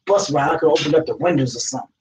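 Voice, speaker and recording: A woman from Virginia, raised in New York City, with a slightly country Southern accent, co-hosting a casual live chat. She talks loud, outspoken and playful. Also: low voice, very fast, distant mic. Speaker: co-host. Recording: roomy echo, faint noise.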